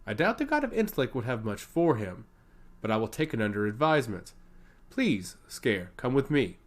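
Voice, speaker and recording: A man's voice: mid-range voice, sing-song, moderate pace, lightly acted. A man voicing a haughty, impatient archmage in a fantasy story, curt and dismissive.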